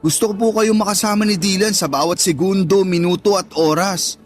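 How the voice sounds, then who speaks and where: A man playing an affectionate grown son and older brother in a radio drama, expressive, boyish and respectful. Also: high voice, a little fast, faint music behind, lightly acted. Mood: pleading. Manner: expressive, pleading, boyish, respectful to his mother. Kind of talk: Tagalog radio drama acting.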